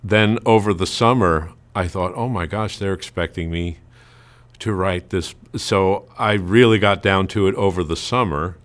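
A man's voice: low voice, unhurried, a bit bright. A man being interviewed as a writer, telling anecdotes casually and acting out remarks he quotes. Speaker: amateur playwright being interviewed.

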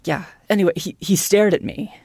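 A woman, a podcast producer, telling a scripted comic story in a halting, stammering, insistent way. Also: medium pitch, creaky, a little mellow, slightly rough, no room sound, studio quality.

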